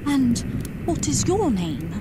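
High-voiced, earnest wife played by an actress. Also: female voice, very animated, slow, very noisy, exaggerated voice. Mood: curious. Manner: earnest, inquisitive. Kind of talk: audio horror drama acting.

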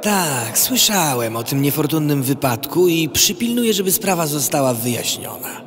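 A man's voice: a little high, very animated, moderate pace, in a large hall. An actor playing an ambitious young male mosquito politician in an audio drama, formal, polite and precise. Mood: insistent, measured.

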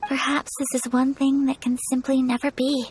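A young woman voicing a gentle, composed and earnest noblewoman with a soft, polite, measured manner in a video game. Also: high voice, level tone, slight background noise, lightly acted, poor audio.

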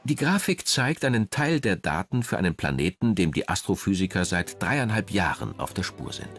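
A man's voice: low-pitched, big pitch swings, normal speed, music in the background. A man reading scripted documentary narration in German as the narrator.

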